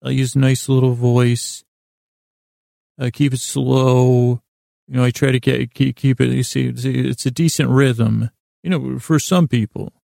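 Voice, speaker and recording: A man with a soft-edged, relaxed voice full of creak delivers a rambling bedtime monologue. He sounds lulling and friendly, mumbling, smushing words together and circling back on himself. The recording is close and very dry, with no room sound. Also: mid-range voice, measured pace, no room sound. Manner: meandering, mumbly, smushes and stumbles over words. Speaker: host of a sleep podcast. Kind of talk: rambling bedtime monologue to help listeners fall asleep.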